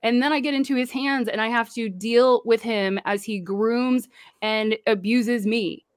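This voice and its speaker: Impassioned deep-voiced woman, bright tone. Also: steady tone, a little slow, clean tone, dry recording, clean studio sound. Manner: impassioned, speaks out forcefully. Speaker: guest. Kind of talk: livestream panel discussion.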